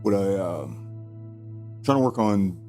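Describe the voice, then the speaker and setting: Low-voiced tired man, guitar behind. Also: slow pace, darkish tone. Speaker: co-host playing guitar on a live stream. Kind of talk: casual chat.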